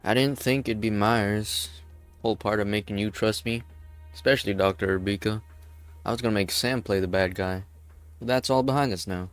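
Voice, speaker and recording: Man playing a bitter, defiant rebel leader, lowish voice. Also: normal speed, smooth, soft background music, natural voice. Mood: bitter, accusing. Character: bitter, defiant man leading an armed group of avengers. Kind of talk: fan dub of a game story.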